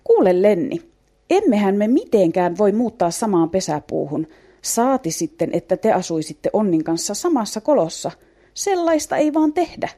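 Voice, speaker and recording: A woman's voice: medium pitch, big pitch swings, dark tone, voice pushed up. A woman voicing a sensible young female ground squirrel in a children's story, firm and matter-of-fact.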